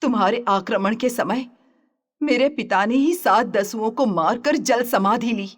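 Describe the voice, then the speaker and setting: A woman playing a fearless young orphaned captive in a dramatised audio story, speaking theatrically. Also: medium pitch.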